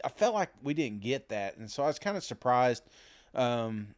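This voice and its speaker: A man's voice: mid-range voice, big pitch swings, moderate pace. A man co-hosting a casual sports podcast, talking in a folksy, rambling, self-deprecating way. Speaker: podcast co-host.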